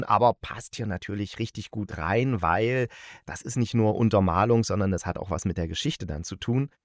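A man's voice: on the low side, darkish tone, dry recording, studio quality. A man hosting a podcast, chatting casually through a review, often searching for words and correcting himself, with a playful streak. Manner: playful, jokey. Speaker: podcast host reviewing radio plays.

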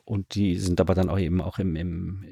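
A man talks casually as an interview guest, his voice low and rough with creak. He feels his way through each explanation, pausing and rephrasing as he thinks aloud. The sound is close and dry. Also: level tone, dark tone, no echo. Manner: halting, searching for words, thinking aloud. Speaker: radio drama director, interview guest.

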